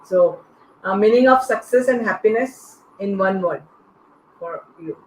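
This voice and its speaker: A woman moderating an unscripted panel with hesitant, halting phrasing. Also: medium pitch, a little mellow. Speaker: panel host. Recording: echoey, faintly noisy online call.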